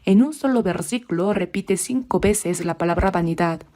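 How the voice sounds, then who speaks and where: A woman delivers a sermon in a warm, mellow voice. She speaks at a measured pace and draws out the scripture passages slowly. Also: on the low side. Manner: measured, slows down for scripture readings. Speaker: preacher. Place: church.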